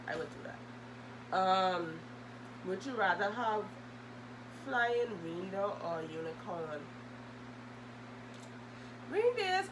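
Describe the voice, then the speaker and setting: A young adult woman, chatting casually and hesitantly as she answers questions for a vlog. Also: medium pitch. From Bahamas. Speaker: video host. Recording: loud humming background noise.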